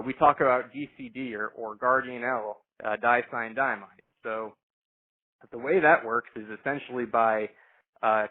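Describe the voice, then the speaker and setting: Man explaining on a muffled call line. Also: mid-range voice. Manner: halting, stop-start explaining with repeated words. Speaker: agronomy products specialist. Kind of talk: informal technical explanation on a conference call. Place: conference call. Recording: muffled, band-limited conference call line.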